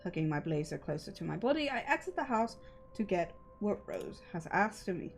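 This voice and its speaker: A woman's voice: fairly low pitch, sing-song, a little fast, creaky, a little hiss or hum. A woman livestreamer reading a visual novel aloud and chatting casually, with playful, sweary asides. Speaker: livestreamer, VTuber. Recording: music behind the voice.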